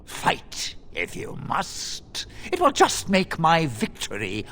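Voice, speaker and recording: An actor playing a smug, power-mad, demon-possessed mage villain in a video game, speaking with theatrical, condescending emphasis. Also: male voice, on the high side, wildly swooping pitch, slow pace, a bit bright, full character voice. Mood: commanding, menacing. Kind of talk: dramatic video game acting.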